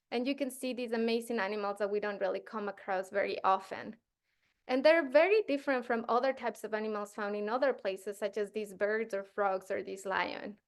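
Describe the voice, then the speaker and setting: A woman in her thirties from Ecuador, a postdoctoral researcher giving a casual science workshop to teachers with frequent fillers and restarts. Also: a little high. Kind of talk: workshop presentation.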